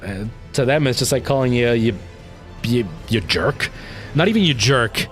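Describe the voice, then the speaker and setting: A man with a light Canadian accent talks casually to his livestream viewers, drifting from one tangent to the next. His voice sits in an ordinary middle register. Faint music plays quietly underneath. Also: medium pitch, lively intonation, normal speed. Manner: rambling, goes off on tangents; responds to viewer comments.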